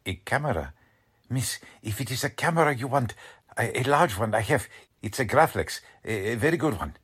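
An actor playing an anxious, dignified immigrant father with a German accent and a halting, earnest manner, in a 1940s radio drama. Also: mid-range voice, lively intonation, a bit bright, slightly rough. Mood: eager, flustered.

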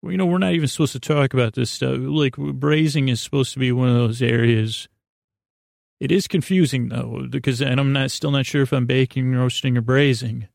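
A man hosting a bedtime podcast, talking in a meandering, halting, silly way with frequent repeats and a creaky voice. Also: medium pitch, a little mellow, no echo, studio quality. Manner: silly, playful banter with an imaginary guest. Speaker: podcast host. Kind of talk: rambling bedtime podcast monologue.

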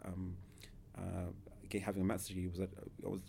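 A man's voice: low voice, completely flat delivery, fairly quick, vocal fry, mellow, a little hiss or hum. A man in his thirties from Zimbabwe, a podcast guest who talks hesitantly with many fillers and restarts. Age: 30s. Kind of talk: casual interview.

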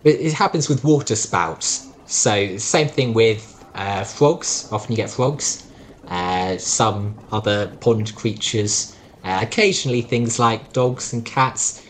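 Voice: medium pitch, slow. A podcast co-host who lectures sarcastically in a casual debate.

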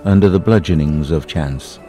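Very deep, solemn male poetry reader. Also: warm tone, soft background music. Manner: solemn, deliberate delivery with long pauses. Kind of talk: dramatic inspirational poetry reading.

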